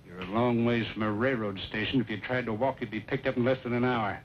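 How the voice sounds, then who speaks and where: An actor playing a cold, greedy American smuggling boss in an old western radio drama, curt and bossy. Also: male voice, medium pitch, fairly even intonation, quick pace, lightly acted. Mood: menacing, commanding. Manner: curt, bossy, hard-boiled slangy talk. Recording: muffled, very noisy old radio recording.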